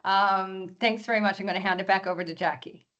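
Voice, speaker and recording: A hoarse woman giving a webinar presentation over a video call, often clearing her throat and using many fillers. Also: medium pitch, steady tone, brisk, a bit bright, lo-fi sound. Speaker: export presenter.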